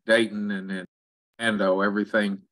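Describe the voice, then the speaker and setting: A man with a fairly low voice and a sharp, bright edge chats casually on a conference call. He delivers his remarks in short broken pieces, pausing between them. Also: flat delivery. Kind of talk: casual chat. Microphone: online conference call.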